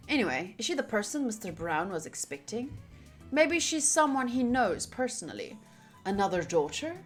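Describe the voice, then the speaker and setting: A woman streamer reading visual novel text aloud with casual, playful commentary. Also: mid-range voice. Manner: animated, playful, exclaiming. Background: game music.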